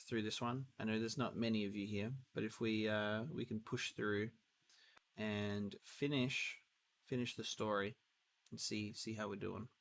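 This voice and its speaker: A man's voice: on the low side, fairly bright tone. A man chatting casually as he streams a game, often repeating and restarting his phrases. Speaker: livestreamer reacting to a game. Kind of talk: casual livestream chat and game commentary. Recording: music behind the voice. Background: video game music.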